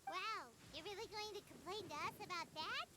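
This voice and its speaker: An actress voicing a tiny, childlike fairy companion in a video game, squeaky, chatty, cheeky and excitable. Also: female voice, brisk, background noise. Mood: cheeky disbelief. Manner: squeaky, chirpy, refers to herself in third person. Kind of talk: English video game voice acting.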